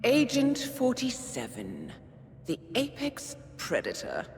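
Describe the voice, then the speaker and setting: A woman playing an imperious, coldly contemptuous mastermind in a video game, deliberate and mocking. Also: fairly high pitch, wildly swooping pitch, full character voice. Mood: cold taunting. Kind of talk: video game cutscene acting. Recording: long cavernous reverb, ambient noise.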